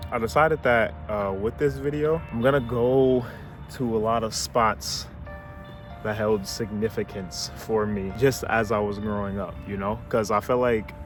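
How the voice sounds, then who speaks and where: A man's voice: lowish voice, fairly even intonation, measured pace, soft background music. A man about to turn twenty-one, rambling and hesitant as he thinks aloud in a casual vlog, recorded outdoors on a camera mic. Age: 20s. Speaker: vlogger. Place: outdoors near a car with a city view.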